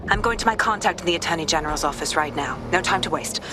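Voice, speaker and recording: A woman's voice: on the low side, fast, background noise, lightly acted. An actress playing a righteous, determined female investigator in a video game, purposeful and businesslike. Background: music.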